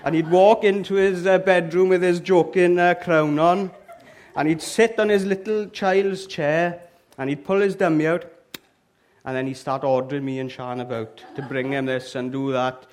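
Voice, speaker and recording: Welsh man, middle-aged, church speaker, chatty and funny, slightly high voice. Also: fairly even intonation, moderate pace, a little mellow. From Wales. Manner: chatty and humorous, often checks in with "okay?" and "all right?". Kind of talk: lively, joking sermon to a live congregation. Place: church hall with an audience.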